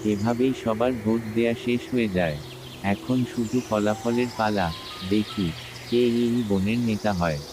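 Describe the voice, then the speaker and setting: A man's voice: on the low side, a little slow, rough recording. A man narrating a scripted animal story, with music behind him.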